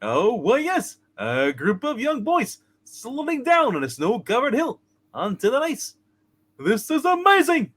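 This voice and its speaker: A man's voice: extremely high pitch, huge pitch swings, unhurried, fairly bright tone, exaggerated voice. A man voicing an eager, fretful apprentice angel in a cartoon-bear voice, hesitant and deferential in a comic radio play. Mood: delighted.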